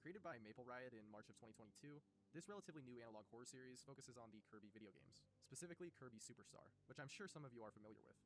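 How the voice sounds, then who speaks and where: A man reading a scripted video-essay narration in a rapid, informative and lightly wry way. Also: mid-range voice, animated, a little hiss or hum, poor audio.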